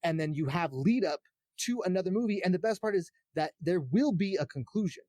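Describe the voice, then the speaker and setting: A man's voice: high voice, lively intonation, brisk, warm tone, dry recording. A man chatting casually as a podcast guest, earnest and a bit hesitant, often restarting his thoughts. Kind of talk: casual chat about games and films.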